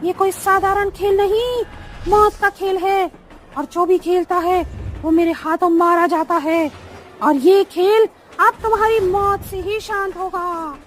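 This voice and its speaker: A woman's voice: very high voice, fairly even intonation, measured pace, faint music behind. A woman voicing a fierce, murderous witch spirit in a horror cartoon story, menacing and threatening.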